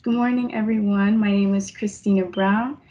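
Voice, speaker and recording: A young woman student from New York introduces herself in a measured, deliberate way. Her voice is mellow and the audio a little muffled. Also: mid-range voice, steady tone, slight background noise. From Westchester, New York. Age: young adult. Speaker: student panelist.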